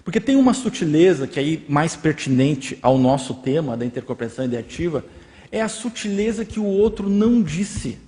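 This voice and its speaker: A man's voice: high-pitched, darkish tone. A man with a Brazilian accent leading an informal group discussion, explaining his points with everyday examples. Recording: reverberant hall.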